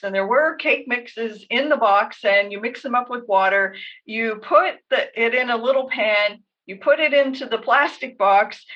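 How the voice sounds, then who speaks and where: The sound is a little dull and lo-fi. A woman with a fairly high voice tells stories casually as a podcast co-host. She lays things out in an orderly way, with wry asides. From Canada. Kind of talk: casual storytelling chat. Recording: dull, lo-fi band-limited audio.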